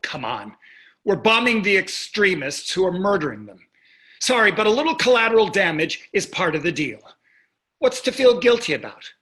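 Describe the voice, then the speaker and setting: An actor playing a wealthy, cynical husband in a staged play reading, sarcastic and dismissive in delivery. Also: male voice, sits high, big pitch swings, moderate pace, fairly bright tone. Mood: hard, defiant. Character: wealthy, cynical, callous, golf-loving husband.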